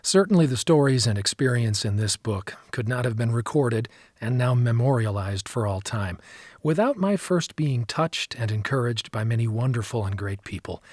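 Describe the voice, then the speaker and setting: Husky male audiobook narrator, close and dry. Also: medium pitch, lively intonation, normal speed, darkish tone, no echo. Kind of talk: scripted narration.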